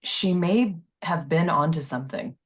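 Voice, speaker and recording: A determined, plain-spoken character in a thriller, read by a woman at a table read. Her voice is a touch low and direct. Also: measured pace. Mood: earnest.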